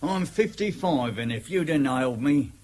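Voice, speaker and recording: An actor playing a wily, ingratiating ex-convict of fifty-five in a radio drama, with a husky voice and a chummy, deferential manner. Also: male voice, on the high side, lively intonation, normal speed, a little mellow, lightly acted. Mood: chummy, rueful. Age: 50s. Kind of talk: naturalistic radio drama acting.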